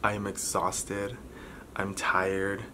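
A man in his twenties talking candidly to camera as a vlogger, halting and pausing often between short phrases. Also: low-pitched, monotone. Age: 20s. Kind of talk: candid personal talk to camera. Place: bedroom, indoors. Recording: clearly noisy room recording.

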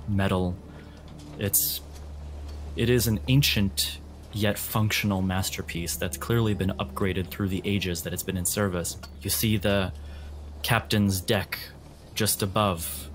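A man running a tabletop game as game master, narrating scenes descriptively in a measured way. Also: low-pitched, a little hiss or hum. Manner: measured, addresses players in second person.

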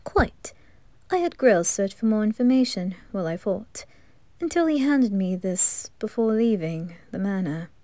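A woman's voice: mid-range voice, expressive, a little slow, dark tone, no echo, natural voice. Woman voicing a polished, courteous butler. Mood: composed. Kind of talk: fan ASMR roleplay.

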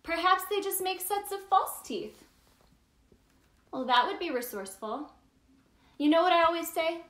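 Woman acting as bubbly storytime fairy, high bright voice, sing-song, exaggerated delivery for little kids, echoey room. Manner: exaggerated, sing-song, talks directly to the child listener. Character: cheerful, bubbly Tooth Fairy telling stories to children. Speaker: children's story time host. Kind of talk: animated read-aloud for young children.

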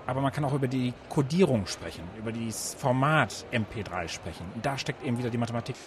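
A man's voice: medium pitch, lively intonation, quick pace. A man answering interview questions as a guest, explaining things with examples. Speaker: interview guest, science organisation director. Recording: noisy event ambience with traffic.

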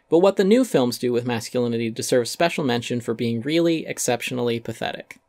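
A man's voice: mid-range voice, creaky, no room sound, clean studio sound. A man giving a dramatic reading of a critical essay, narrating with emphatic, sardonic delivery and some swearing.

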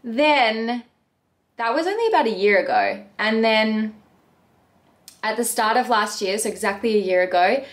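A woman's voice: a little high, sing-song, moderate pace, long pauses. A woman in her thirties, a bakery owner, chatting earnestly to camera from her bedroom in a casual question-and-answer video. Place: bedroom at home.

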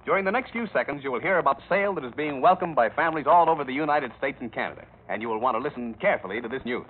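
A man's voice: medium pitch, animated, racing, slight background noise. A man presenting a 1930s radio variety show as host and announcer. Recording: tinny 1930s radio recording.

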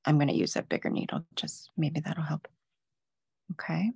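Woman knitting teacher for a live demo; calm stepwise explainer, slightly low and fry-tinged. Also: normal speed, dry recording, in a whisper, clean studio sound. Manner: patient step-by-step explaining. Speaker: knitting instructor. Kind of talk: live online craft demo.

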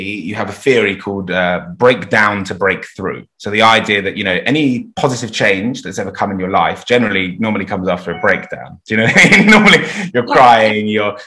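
A man's voice: on the low side, sharp tone. A man in his twenties chatting casually as an interview guest, upbeat and earnest, often checking in with the listener. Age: 20s. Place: indoors at home, video call.

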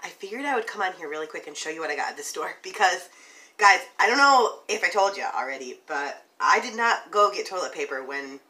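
A woman's voice: lowish voice, expressive, a little fast, pushed and bright, recorded from a distance. A woman in her thirties chatting informally and reassuringly to her phone in a casual home vlog. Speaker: vlogger. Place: home kitchen, indoors. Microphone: phone at arm's length. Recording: noisy home phone recording.

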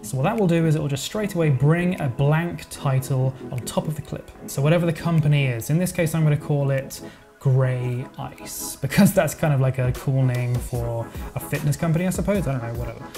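A man narrating a software editing tutorial in a relaxed, chatty way, thinking aloud as he goes. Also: on the high side, fairly quick. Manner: casual, explanatory, thinks aloud with verbal sound effects. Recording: voice-over with music playing underneath.

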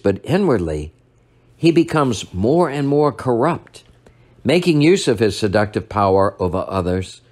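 An older man, a priest, delivering a sermon at a church lectern, retelling a story in a measured way and slowing down for emphasis. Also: on the low side.

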